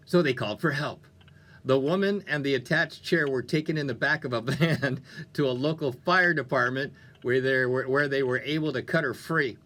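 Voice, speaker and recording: A man's voice: mid-range voice, fairly bright tone. A man hosting a music radio show with upbeat, playful, conversational DJ patter. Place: radio studio.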